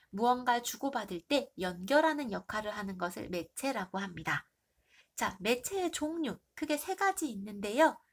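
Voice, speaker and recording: A woman teacher with a stuffy nose and a hoarse throat, reviewing for an exam and asking the class questions she then answers herself. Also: mid-range voice. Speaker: middle school Korean teacher.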